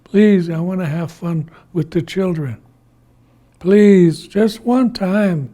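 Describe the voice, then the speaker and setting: A man voicing a childish, sulky trickster in an oral folktale, whining, begging and excitable. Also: medium pitch, normal speed, husky. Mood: pleading. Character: childish, sulky, pleading trickster who turns greedy.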